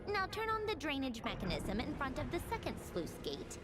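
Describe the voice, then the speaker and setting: Game role: brisk, dry young woman, high and animated, bossy with a wistful side. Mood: brisk, commanding. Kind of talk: video game voice acting. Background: game music.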